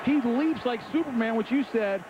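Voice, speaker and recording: A man's voice: extremely high pitch, normal speed, dull audio. A man giving color commentary on a football game, conversational, often repeating himself and saying "I mean.". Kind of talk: sports analysis. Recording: broadcast booth over loud crowd noise.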